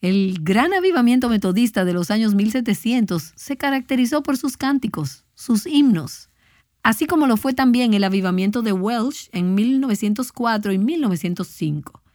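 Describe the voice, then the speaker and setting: A woman's voice: fairly high pitch, expressive, normal speed, darkish tone, dry recording, clean studio sound. A woman speaking as a Bible teacher, giving a devotional talk in an earnest, exhorting manner.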